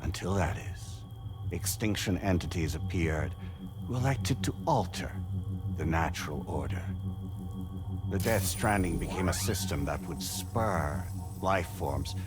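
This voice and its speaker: An actor playing a calm collective entity of many souls, explaining things in measured, formal speech as in a cinematic game cutscene. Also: male voice, low-pitched, music in the background. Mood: calm, explanatory. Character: calm collective entity of merged souls, speaking as one man.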